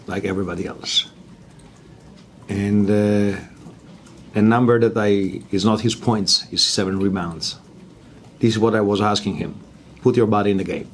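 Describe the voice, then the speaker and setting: A man's voice: on the low side, a little hiss or hum. A man, a basketball coach answering press questions bluntly in broken English.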